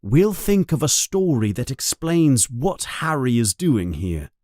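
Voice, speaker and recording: A man voicing a cheeky teenage boy urging his siblings quietly along. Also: medium pitch, big pitch swings, a little slow, no room sound, clean studio sound. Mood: hushed. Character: teenage boy, cheeky, bossy older brother.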